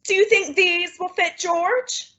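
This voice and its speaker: A woman playing a flustered, secretive and affectionate housewife in an old-time radio sitcom, with animated, exclamatory delivery. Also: very high voice, slow, highs cut off, exaggerated voice. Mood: coy, cheerful. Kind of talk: 1940s radio sitcom acting.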